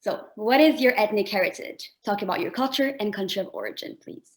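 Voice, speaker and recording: A woman's voice: medium pitch, expressive, fairly quick. A young woman, a first-year college student, politely reading formal prepared remarks and interview questions. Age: young adult.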